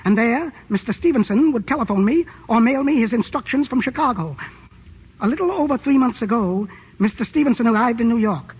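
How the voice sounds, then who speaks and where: An actor playing a timid, fearful older chemist, speaking formally and precisely in a 1940s-style radio drama. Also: male voice, extremely high pitch, lively intonation, a little fast, breathy edge, exaggerated voice. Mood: grave confession. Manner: formal, precise, methodical phrasing. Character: timid, fearful aging male chemist confessing crimes. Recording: muffled, very noisy old radio recording.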